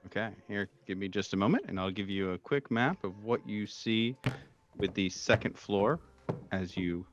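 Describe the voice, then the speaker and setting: A man narrating a tabletop horror game as game master, speaking in a halting, improvised way with many pauses. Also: lowish voice, muffled recording, a little hiss or hum.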